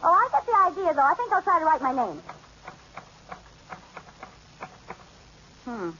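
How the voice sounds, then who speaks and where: Scatterbrained comic wife, wisecracking, flustered, high voice, muffled old radio with heavy hiss. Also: female voice, big pitch swings, fast. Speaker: housewife. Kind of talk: 1940s radio comedy acting.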